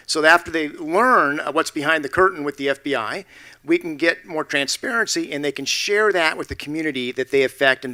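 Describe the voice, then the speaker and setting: A man answering as a federal agent on a panel, explaining things in halting, hesitant phrases. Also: a little high, sharp tone. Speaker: FBI agent on a forum panel.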